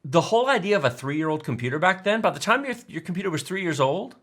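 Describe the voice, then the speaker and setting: A man in his forties co-hosting a tech live stream, telling stories casually in an animated, emphatic, enthusiastic way. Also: a little high, fast. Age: 40s. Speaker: tech show co-host.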